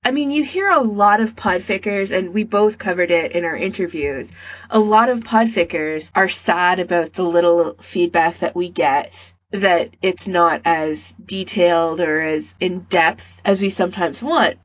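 A woman's voice: on the low side, unhurried, highs cut off. A woman hosting a podcast in a casual, conversational style. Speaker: podcast host. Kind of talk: casual chat.

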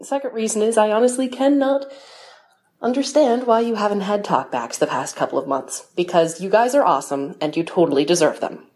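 A woman's voice: medium pitch, fairly quick, darkish tone. A woman speaking casually and earnestly as a listener leaving recorded feedback.

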